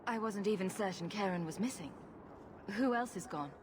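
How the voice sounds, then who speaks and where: A woman's voice: medium pitch, moderate pace, noisy background, close to an everyday voice. An actress playing a confident, sarcastic female rogue adventurer in a video game, with a flippant manner. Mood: probing, flippant. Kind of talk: video game dialogue acting.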